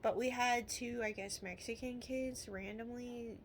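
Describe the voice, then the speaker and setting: Gravelly Midwestern American woman, rambling casually. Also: fairly high pitch, fairly even intonation, unhurried, a little hiss or hum. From Illinois, United States. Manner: rambling, with frequent trailing asides. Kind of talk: casual rambling vlog talk.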